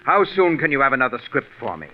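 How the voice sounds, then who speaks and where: An actor playing an imperious, fault-finding show boss in a 1940s radio drama, curt and pompous. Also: male voice, medium pitch, big pitch swings, fast talker, loud music behind the voice, lightly acted. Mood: dismissive. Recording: dull 1940s radio recording.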